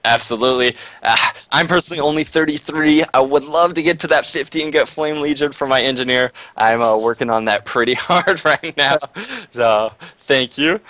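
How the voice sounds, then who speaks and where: A man's voice: medium pitch, moderate pace, sharp tone, no room sound. A man co-hosting a game broadcast, upbeat and prone to laughing while chatting and giving color commentary. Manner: upbeat, laughs often, keeps saying "absolutely". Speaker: esports co-caster and interviewer. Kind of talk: casual chat and color commentary.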